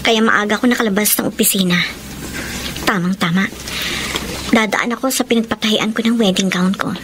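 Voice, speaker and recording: A woman's voice: mid-range voice, steady tone, fairly quick, background noise, natural voice. An actress playing an anxious, guilt-ridden young woman in a Filipino romantic radio drama. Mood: fearful, confiding.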